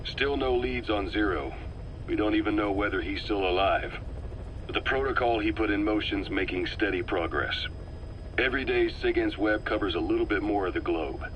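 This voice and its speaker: Deep-voiced, shrewd spymaster, measured and grave. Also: male voice, fairly bright tone, a little hiss or hum, low-quality recording. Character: shrewd, world-weary male intelligence operative, calm schemer. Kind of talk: dramatic video game cutscene acting.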